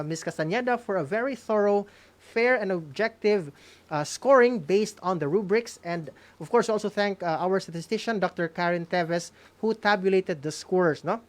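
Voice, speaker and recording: A woman with a Filipino accent hosting an award ceremony on a radio program, often tagging phrases with "no?". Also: mid-range voice.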